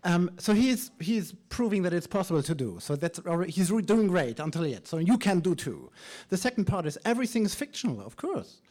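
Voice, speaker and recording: A man's voice: sits high, sing-song, fairly quick, few pauses, darkish tone. A man hosting a live event, making casual off-the-cuff remarks in a playful, wry way and stumbling over his words. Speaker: event host. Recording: live event with audience noise. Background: faint crowd.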